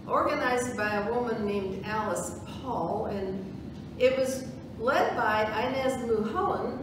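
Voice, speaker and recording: A woman playing a flustered, clueless writer in an amateur reader's theatre skit. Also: fairly high pitch. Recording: very echoey, distant, noisy room recording.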